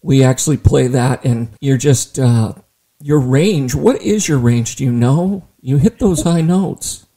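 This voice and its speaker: A man hosting a casual interview, full of wisecracks and quick asides. His voice is mellow and darker in tone, and he sits at a middle pitch for a man. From Ireland. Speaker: podcast host. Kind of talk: joking interview chat.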